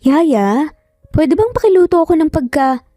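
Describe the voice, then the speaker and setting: Woman acting a haughty, pouty, high-pitched young rival. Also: big pitch swings, normal speed, mellow, dry recording, clean studio sound, soft background music. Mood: sneering. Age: young adult. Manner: affected, pouty, taunting.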